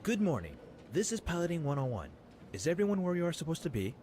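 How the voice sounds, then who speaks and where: A man's voice: a little high, big pitch swings, quick pace, a little mellow, slight background noise. A man voicing a composed, courteous male professor who lectures a class formally in a visual novel game.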